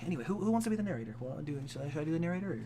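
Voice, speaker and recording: A man's voice: a little high, sing-song, very fast, warm tone, slight background noise. A man bantering crudely and jokingly while leading a live fan-fiction reading. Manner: crude, joking, swears a lot. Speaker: co-host. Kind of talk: casual banter.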